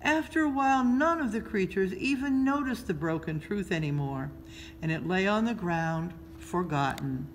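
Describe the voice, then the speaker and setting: A woman reads a children's story aloud in a measured, expressive storytelling style, pausing between phrases. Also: mid-range voice, poor audio. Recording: noticeable background noise.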